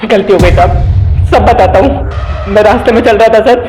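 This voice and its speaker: A man's voice: high-pitched, brisk, big-room sound, over music, lightly acted. An Indian man acting as a frightened, poor driver pleading deferentially under police interrogation in a TV drama.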